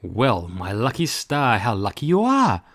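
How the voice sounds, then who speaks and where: A helpful storybook octopus acted out by a man marvels in rhyme. The delivery is friendly and delighted, with pitch that swings widely. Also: huge pitch swings, a little slow, exaggerated voice. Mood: delighted, welcoming. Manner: marvelling, rhyming.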